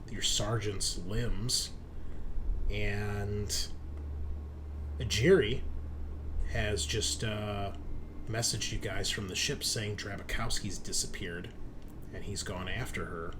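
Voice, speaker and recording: Mann als Spielleiter, leichtes Hintergrundrauschen. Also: male voice, fairly low pitch, background noise. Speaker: host and game master. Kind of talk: casual announcing and tabletop game narration.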